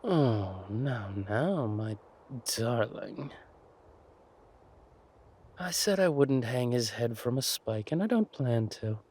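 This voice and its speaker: A man acting a menacing, silkily mocking villain king in an audio roleplay, taunting with deliberate words and drawn-out pauses. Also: medium pitch, lively intonation, darkish tone, husky. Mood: smug, affectionate.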